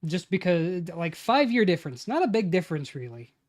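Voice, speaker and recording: A man's voice: high-pitched, very animated, a little fast. Young man muttering as he types. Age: 20s. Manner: mutters lines aloud while typing, then casual banter with swearing. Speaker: streamer writing a tabletop campaign. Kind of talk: casual livestream chat.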